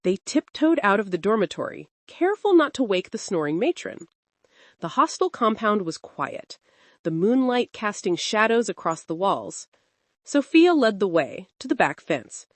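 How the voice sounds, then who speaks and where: A woman storyteller giving an expressive, suspenseful narration of a scripted dramatic folktale. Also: medium pitch, normal speed, dry recording.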